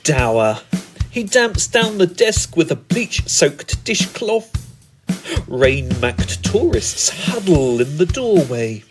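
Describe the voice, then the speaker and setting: A British man delivering scripted comic poetry as a spoken word performer, with a deliberate, theatrical and wry manner. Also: a little high. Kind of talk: scripted comic poetic narration.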